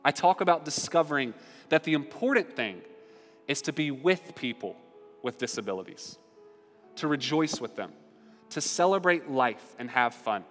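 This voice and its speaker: A man's voice: animated, normal speed, fairly bright tone, faint music behind. Earnest male pastor in a reverberant hall. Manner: earnest, building in pitch and volume at key points. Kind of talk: sermon. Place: church sanctuary. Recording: long reverb of a large hall.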